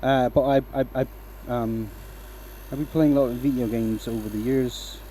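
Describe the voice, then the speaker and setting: A man from Denmark with a light Danish accent in a workshop, casually talking viewers through his woodturning. His voice is mellow and mid-pitched, and his manner is relaxed as he keeps checking in with "right?". Faint workshop noise sits under the voice. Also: normal speed, low-quality recording. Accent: light Danish, mostly a mix of English accents picked up online. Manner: relaxed, explains as he works, asks "right?" often. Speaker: woodturning livestream host. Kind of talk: casual live-stream talk while working.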